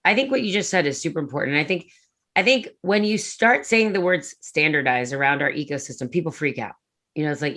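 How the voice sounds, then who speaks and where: A woman talking about her industry in a conversational panel, animated and quick, full of "like" and "you know". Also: low-pitched. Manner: fast, animated, filler-heavy. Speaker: prefab industry executive. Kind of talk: conference talk conversation.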